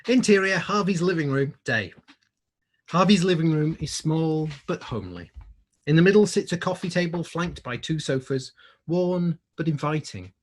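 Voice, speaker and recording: A man narrates screenplay directions in a husky, slightly high voice. The delivery is scripted and descriptive. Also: animated, moderate pace. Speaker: narrator reading stage directions.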